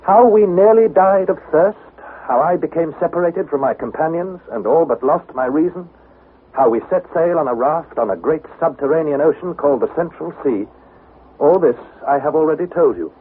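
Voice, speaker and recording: Man playing an animated, earnest explorer narrator. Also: a little high, natural voice. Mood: dramatic recounting. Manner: measured, dramatic storytelling. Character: earnest young male explorer recounting his expedition. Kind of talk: scripted vintage radio drama narration. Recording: tinny, hissy vintage radio broadcast.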